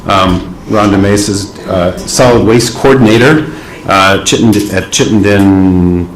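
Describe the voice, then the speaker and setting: A man's voice: lowish voice, unhurried. A man moderating a conference panel, introducing speakers with hesitant fillers. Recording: echoey room.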